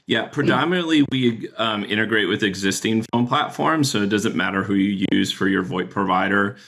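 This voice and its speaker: A man explaining a business software product as a podcast guest, talking in a relaxed way with many fillers. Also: fairly low pitch, moderate pace, creaky. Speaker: guest, chief product officer.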